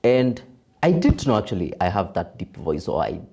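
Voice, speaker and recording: A young Kenyan man with a deep voice, a broadcaster and voiceover artist chatting as a podcast guest, laughing easily and mixing in Swahili. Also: sing-song, normal speed, vocal fry, darkish tone, slightly rough. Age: young adult. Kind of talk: casual interview chat.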